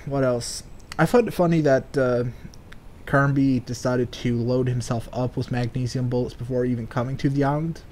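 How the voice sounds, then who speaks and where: A man's voice: mid-range voice, warm tone, slight background noise. A man hosting a let's play, with rambling, casual commentary and stretches of game text read aloud. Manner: rambling, speculative, sweary.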